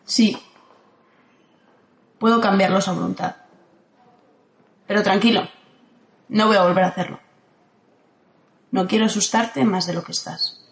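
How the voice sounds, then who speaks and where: A woman from playing a calm, ageless guardian who speaks in a steady, firm way in an audio drama. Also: medium pitch, measured pace, gravelly, long pauses. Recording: roomy echo.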